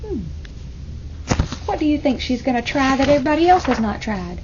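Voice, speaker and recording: A woman's voice: sits high, lively intonation, fairly quick. A woman reading a storybook aloud to children, expressively, with engaging asides to her young listeners. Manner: engaging, asks listeners questions. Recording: muffled, clearly noisy room recording.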